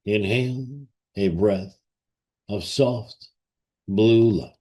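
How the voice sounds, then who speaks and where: Man, lowish pitch, very slow pace, long pauses, solemn, reverent, ceremonial guided meditation. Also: warm tone.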